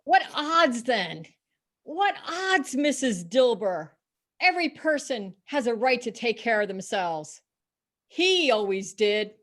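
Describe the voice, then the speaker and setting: A woman's voice: on the high side, sing-song, slow pace, fairly bright tone, heavily acted. A woman playing a bold, defiant Victorian charwoman in a dramatic story reading, speaking with scornful emphasis. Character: bold, defiant, unrepentant Victorian charwoman selling stolen goods.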